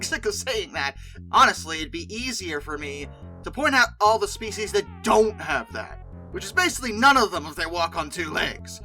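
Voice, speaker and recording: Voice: mid-range voice, pushed and bright, low-quality recording. A video host giving casual, opinionated commentary in a conversational, emphatic way with exasperated asides.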